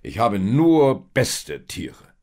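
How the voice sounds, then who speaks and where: A man voicing a rich, proud, hard-bargaining dealer in a storybook tale, haughty, loud and drawn-out. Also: fairly low pitch, very animated, pitched above the performer's own voice, exaggerated voice. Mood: boastful. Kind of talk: storybook character voice in narrated tale.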